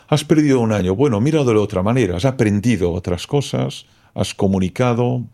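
A man's voice: on the low side, gravelly, dark tone. A man whose first language is Catalan, a philosopher guest talking through ideas in a thoughtful, explanatory way and often ending phrases with a questioning tag. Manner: thoughtful, explanatory, keeps tagging "¿no?". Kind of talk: reflective interview talk.

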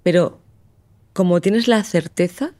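A woman from a close, dry-sounding recording speaks with an accent from Spain in a fairly low voice. She explains things conversationally and with conviction, pressing points through rhetorical questions. Her tone is on the mellow side. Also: a little slow, no room sound. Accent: Spanish from Spain. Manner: assertive, uses rhetorical questions.

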